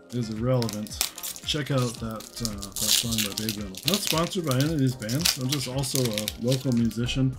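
A man with a mid-pitched voice talks casually and chattily over clearly audible music. He rambles along in an easy, slangy way, as if hosting a video from a table. He sounds middle-aged and relaxed in his delivery. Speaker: trading card shop owner hosting an unboxing video. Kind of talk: casual rambling chat while opening card packs. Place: indoor room at a card-opening table. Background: music, crinkling card packs.

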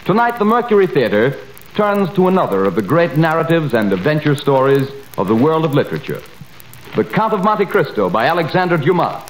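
A man's voice: fairly high pitch, big pitch swings, fairly quick, reverberant, slight background noise, poor audio. A man announcing a 1930s radio broadcast in a formal, declamatory manner, in an old radio recording.

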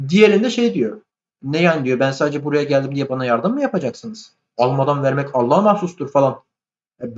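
Guy chatting movies, talks really fast, bit high pitched, opinionated, muffled lofi sound. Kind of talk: casual chat about films.

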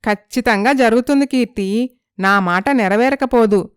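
A woman playing a sympathetic, gently persuasive young female friend in an animated moral story. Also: a little high, unhurried, smooth, no echo, clean studio sound, close to an everyday voice.

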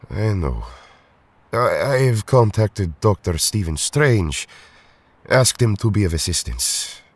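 A solemn, protective strongman hero acted by a man in an audio drama. His formal speech is very low and husky, with a lively rise and fall. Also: slow pace, no echo, exaggerated voice. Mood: solemn, sorrowful. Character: earnest, solemn foreign strongman hero, protective older brother.

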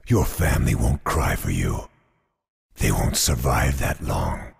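An actor playing a hateful, nihilistic killer with a raspy growl and cold, bitter, drawn-out menace, over music. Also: male voice, rumbling low, full character voice.

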